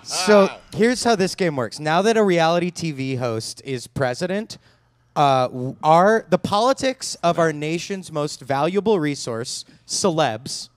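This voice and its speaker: A man's voice: a little high, expressive, a little slow, dry recording. A man running a comedy quiz game on a live podcast stage, dry and jokey, speaking into a handheld mic. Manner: dry jokey quizmaster, chuckling. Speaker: game segment host. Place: stage before live audience.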